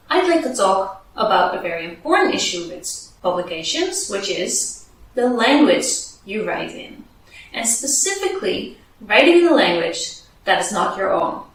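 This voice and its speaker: A woman's voice: medium pitch, slight background noise. Lady explaining tips for researchers, super echoey room, mic kinda far off. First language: Dutch. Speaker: podcast host giving advice to researchers. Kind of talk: scripted advice video.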